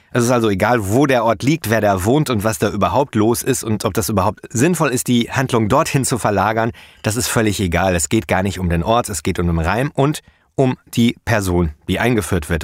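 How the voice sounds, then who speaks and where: Low-voiced, chatty male podcast host. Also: dry recording, studio quality. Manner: chatty, relaxed, with frequent fillers. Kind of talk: explanatory podcast monologue.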